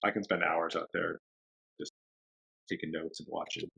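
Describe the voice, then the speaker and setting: A man, a dog trainer, chatting casually as a podcast guest in a relaxed, rambling way, laughing often. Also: deep voice, moderate pace, creaky, slightly rough, rough recording. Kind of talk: casual interview chat.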